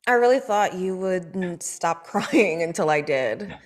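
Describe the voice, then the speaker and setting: A woman's voice: lowish voice, lively intonation, slow. An actress at a table read playing an awkward, hesitant young woman who writes scripts.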